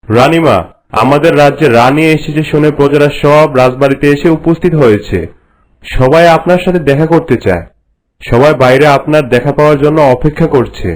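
A man's voice: fairly high pitch, brisk, lightly acted, rough recording. A man voicing a dutiful royal minister in a cartoon, speaking formally and with deference. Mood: reporting, deferential. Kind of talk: cartoon voice acting.

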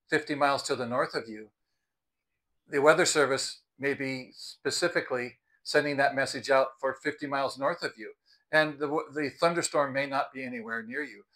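An older American man in his sixties with a bright, forceful voice at an average pitch. He talks off the cuff like a review host, explaining things conversationally and with emphasis. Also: poor audio. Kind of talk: unscripted explainer to camera. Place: outdoors on a house porch by the front door.

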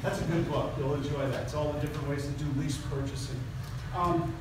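Joking seminar speaker, male, high-pitched, fast questions to the crowd; captured from a distance in a very echoey, noisy hall. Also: fairly even intonation. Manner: joking, quick, peppers audience with questions. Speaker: seminar speaker and sales trainer. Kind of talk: lively keynote talk with audience role play.

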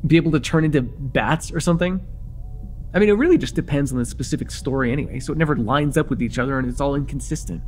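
A young man playing an excitable vampire in an immersive roleplay, casual and halting, insistent and teasing as he repeats himself. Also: mid-range voice, soft background music, lightly acted. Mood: insistent, pleading. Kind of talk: immersive ASMR roleplay acting.

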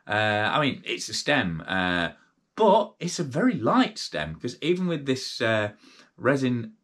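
A man's voice: medium pitch, huge pitch swings, a little slow, a bit bright. A middle-aged British man hosting a casual cycling gear review late at night, chatty and rambling with lots of fillers and restarts, sounding tired. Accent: British English. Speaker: cycling channel host. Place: indoor workshop with brick wall and bikes.

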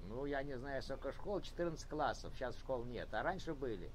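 A man's voice: medium pitch, expressive, a little fast, slight background noise. A man hosting a casual video chat debate, patiently arguing and repeating his points, in a room at home.

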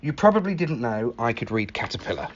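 A man reading a picture book aloud in an expressive, animated manner, acting out the exclamations. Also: medium pitch, a little fast, dull audio, a little hiss or hum. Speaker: library staff story reader.

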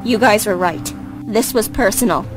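A woman's voice: medium pitch, normal speed, lightly acted. A woman playing a proud, guarded young schoolgirl in an anime visual novel game, reserved and terse. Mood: subdued. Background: game music.

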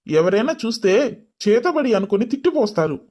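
A man's voice: high-pitched, very animated, brisk, warm tone. Man acting an impatient, scolding young businessman. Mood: irritated. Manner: scolding, mixes English words into speech. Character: irritated, taunting young businessman, impatient fiancé. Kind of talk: dramatised story dialogue.

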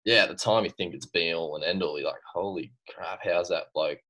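A young man with an Australian accent talks from his bedroom over a laptop webcam. His voice sits very low, and he rambles easily, leaning on fillers and breaking into quick laughs. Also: bright, forceful tone, slightly rough. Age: young adult. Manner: laid-back, laughs often, trails off with fillers. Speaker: guest swimmer. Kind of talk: casual chat. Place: bedroom at home. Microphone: laptop webcam on video call.

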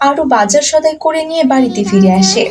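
A woman giving scripted narration for an animated story. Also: high voice, quick pace. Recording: slightly distant, faint background noise.

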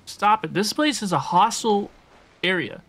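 A woman's voice: medium pitch, animated, moderate pace, fairly bright tone, husky. A young woman game streamer chatting casually with viewers as she plays, often repeating her phrases. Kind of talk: casual live-stream chat and gameplay commentary. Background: music.

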